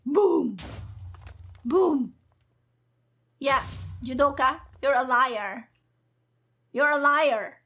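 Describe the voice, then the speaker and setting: A woman's voice: high-pitched, very slow, pauses often, sharp tone. A woman streamer giving casual, playful gameplay commentary in a cheeky, hyper, giggly manner. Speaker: gaming streamer, virtual avatar host.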